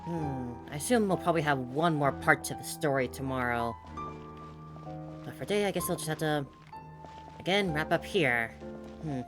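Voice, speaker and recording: A woman's voice: deep voice, normal speed, pauses often. A woman game streamer giving casual playthrough commentary, musing and humming to herself. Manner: musing, hums to self. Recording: game music behind.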